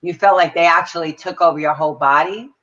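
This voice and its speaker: Low-voiced woman on dull lo-fi audio. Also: quick pace, fairly bright tone. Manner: earnest, explanatory. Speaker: psychic reader, co-host. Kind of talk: casual live chat.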